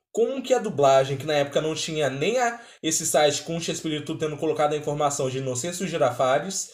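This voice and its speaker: High-voiced Brazilian man in a roomy space. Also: a little mellow. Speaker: reaction video host. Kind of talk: casual reaction commentary. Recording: roomy, echoey sound.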